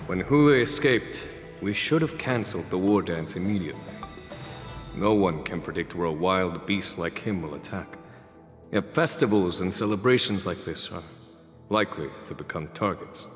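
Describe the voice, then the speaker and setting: A man's voice: low-pitched, moderate pace, in a large hall, soft background music, natural voice. An actor playing a composed, shrewd male general laying out a battle plan in a video game. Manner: composed, explanatory.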